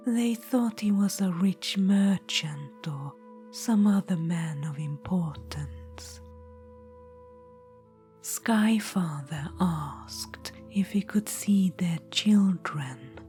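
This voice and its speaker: A woman voicing an ancient, proud witch-queen in an ASMR fantasy roleplay, whispering softly into a close mic and calling the listener darling. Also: lowish voice, drawn-out words, soft background music. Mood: storytelling. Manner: soft unvoiced whisper throughout.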